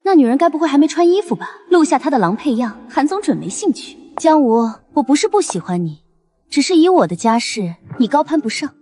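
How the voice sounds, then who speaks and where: A woman's voice: high-pitched, very animated, a little fast, mellow, no echo. A woman acting a catty, scornful young rich socialite in a Chinese audio drama, with a snobbish, theatrical delivery. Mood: smug, scornful.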